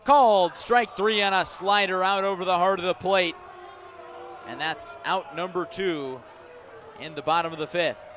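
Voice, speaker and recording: A man doing live radio play-by-play of a baseball game, descriptive and unhurried between pitches and punchier on the action. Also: noisy background. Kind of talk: live radio sports commentary.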